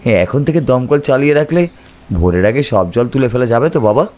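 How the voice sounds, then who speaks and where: A man's voice: medium pitch, animated, brisk, a little mellow, lightly acted. A man playing a keen, questioning young village boy in an audio story. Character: keen village boy asking his father. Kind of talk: Bengali audio story character dialogue.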